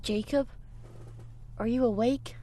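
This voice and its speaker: A woman's voice: fairly high pitch, fairly even intonation, slow pace, warm tone, a little hiss or hum. A woman playing an eager, shy young child survivor in a video game, hesitant and earnest. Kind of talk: dramatic video game acting.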